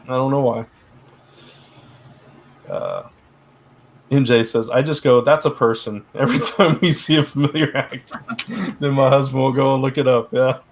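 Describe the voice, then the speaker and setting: A middle-aged man co-hosting a casual live stream, rambling with lots of fillers and restarts, his voice turning hoarse. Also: mid-range voice, steady tone, moderate pace, long pauses, a little hiss or hum. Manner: rambling, many fillers and restarts, laughs often. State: getting hoarse. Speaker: live stream co-host, publisher.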